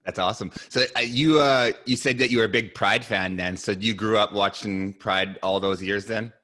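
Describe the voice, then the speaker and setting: Canadian guy hosting a podcast, lowish voice but bright and forward, casual interview chat. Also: steady tone, normal speed.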